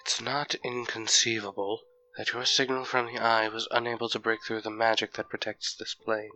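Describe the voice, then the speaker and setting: Aloof immortal mentor played by an actor: low, very even, condescending and measured, for fantasy audio drama. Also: male voice, soft background music, poor audio. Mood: bored, aloof. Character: ancient male planeswalker mentor, bored, aloof, condescending.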